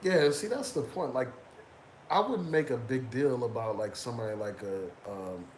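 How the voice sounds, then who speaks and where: A man's voice: medium pitch, animated, moderate pace, darkish tone. A man with a cold, sniffling as he comments loosely to his viewers, with lots of "um" and "man.". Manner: loose, conversational, fillers like "man" and "um". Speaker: YouTube commentator reading chat. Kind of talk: casual live-stream commentary. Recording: echoey room with background noise.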